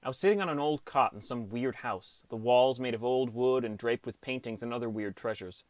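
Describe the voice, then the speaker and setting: A man's voice: medium pitch, fairly quick, dry recording, natural voice. An actor playing a downcast teenage boy who narrates his gaming adventure in an understated, wry first-person audiobook style. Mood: reflective narration. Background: music.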